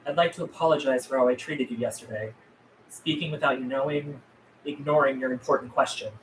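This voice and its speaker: A man's voice: mid-range voice, steady tone, fairly quick, natural voice. A man reading the part of a guarded, earnest zombie apocalypse survivor at a script table read, terse in manner. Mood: earnest, apologetic. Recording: roomy, distant mic, faint noise.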